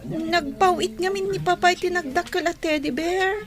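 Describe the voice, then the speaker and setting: Innocent small girl; tiny high-pitched voice over music, sweet, radio drama. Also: moderate pace. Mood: innocent, excited.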